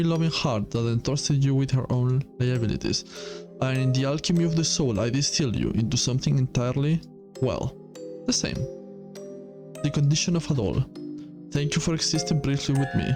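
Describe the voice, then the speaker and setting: A man reading visual novel dialogue as an enigmatic, formal keeper of a gothic manor laboratory, measured and solemn. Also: medium pitch, close to an everyday voice.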